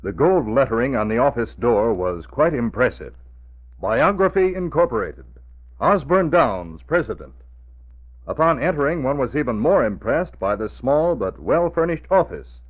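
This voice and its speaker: A man's voice: mid-range voice, sing-song, moderate pace. An American man narrating a 1940s radio drama in a theatrical, emphatic and formally enunciated style. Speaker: announcer and narrator. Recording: dull, noisy vintage radio recording.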